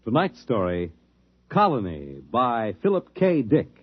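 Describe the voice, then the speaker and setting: A man's voice: mid-range voice, wildly swooping pitch, a little slow. A man announcing a 1950s radio science fiction series in a grand, theatrical style. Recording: dull vintage 1950s radio recording. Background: dramatic music.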